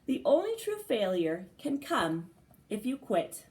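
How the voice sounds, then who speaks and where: A woman preschool teacher reading a storybook aloud for young children, with dramatic phrasing and pauses between short phrases. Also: medium pitch, wildly swooping pitch, darkish tone.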